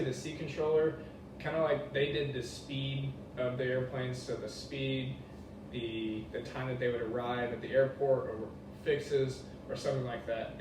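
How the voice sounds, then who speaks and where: A young man giving an informal class presentation, talking in a casual, colloquial way. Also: medium pitch. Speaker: student presenter. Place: echoey indoor room, presenting to a class. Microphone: distant room microphone. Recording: very echoey, distant mic, noisy room.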